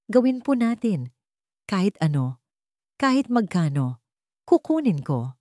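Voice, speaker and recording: A woman's voice: mid-range voice, sing-song, measured pace, dark tone, no echo, clean studio sound. A woman voicing an anguished mother desperate to save her sick child in a narrated story. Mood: desperate, pleading. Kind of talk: audio drama story dialogue.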